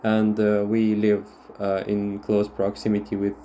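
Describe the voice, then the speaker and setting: A man with a Russian accent talks through a tarot reading for his viewers. His voice sits low and mellow, with a warm, dark tone. He hesitates often, filling gaps with "um" and "like" and restarting his sentences. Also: completely flat delivery, a little slow, highs cut off, a little hiss or hum. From Moldova. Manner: hesitant, many fillers and restarts. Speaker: tarot reader. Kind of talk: casual talk to camera.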